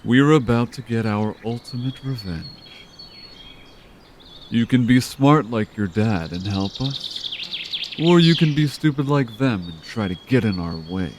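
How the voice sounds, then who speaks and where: A man playing a slow, coaxing villain. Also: mid-range voice, animated, a little mellow, a little hiss or hum, close to an everyday voice. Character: scheming male villain, smooth manipulative tempter. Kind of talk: amateur audio drama acting.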